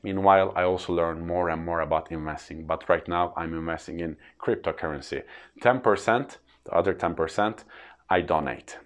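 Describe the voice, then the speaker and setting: A man's voice: low-pitched, normal speed. A man in his thirties with a Turkish accent, explaining personal finance in an earnest, plain way to camera. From Turkey. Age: 30s. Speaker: freelance trainer.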